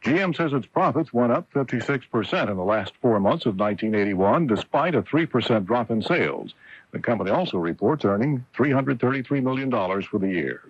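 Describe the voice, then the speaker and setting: A man's voice: mid-range voice, lively intonation, a little fast, slightly rough, no room sound. An American man reading a scripted radio newscast as anchor, heard on a dull old AM broadcast.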